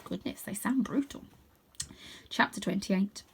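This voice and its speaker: A woman reading a children's novel aloud in a dramatic, expressive storytelling style. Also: medium pitch, a little slow, gravelly, a little hiss or hum. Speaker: storybook reader.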